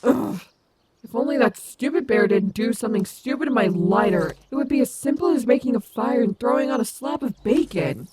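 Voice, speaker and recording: A woman's voice: a little high, animated, fairly quick, a little mellow, a little hiss or hum, natural voice, rough recording. A woman playing a cocky, protective female animatronic wolf in an audio roleplay, confident, sassy and doting on the listener. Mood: exasperated. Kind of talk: fan audio roleplay acting, addressing the listener.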